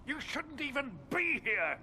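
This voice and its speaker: Haughty cleric bureaucrat, animated, highish voice, hostile, noisy game background. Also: male voice, unhurried, exaggerated voice. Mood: indignant. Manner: pompous, haughty. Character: pompous, indignant male church chancellor, bureaucratic and hostile. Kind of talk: video game voice acting.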